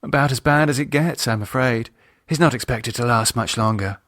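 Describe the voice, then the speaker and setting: A man voicing a composed, teasing woman with a sharp edge and a polite, ironic manner in an audiobook. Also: medium pitch, moderate pace, no room sound, clean studio sound, close to an everyday voice. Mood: matter-of-fact. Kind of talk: audiobook character dialogue.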